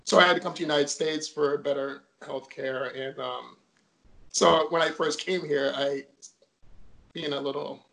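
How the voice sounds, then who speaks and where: Gravelly man from Jamaica telling stories. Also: on the high side, steady tone, normal speed, pushed and bright, rough recording. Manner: storytelling, chatty with fillers. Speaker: guest. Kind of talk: casual interview chat.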